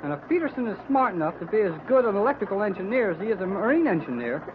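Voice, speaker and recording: A man's voice: sits high, big pitch swings, rapid-fire. A man playing an earnest, worried teenage boy aboard a boat in an old-time radio adventure, with an American accent. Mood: stubborn, worried. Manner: earnest, boyish. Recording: muffled, noisy old radio recording.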